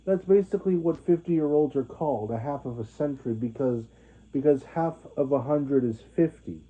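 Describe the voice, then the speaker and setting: Halting young man, tinny phone-like sound. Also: medium pitch, husky. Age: 20s. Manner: halting, many restarts and fillers. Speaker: vlogger. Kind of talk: rambling monologue to viewers.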